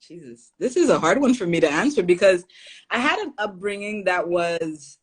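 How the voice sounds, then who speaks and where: A woman's voice: on the low side, sing-song, normal speed, breathy edge. Earnest, reflective woman from Haiti. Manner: earnest and reflective, laughs easily. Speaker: podcast guest. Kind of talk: casual interview talk.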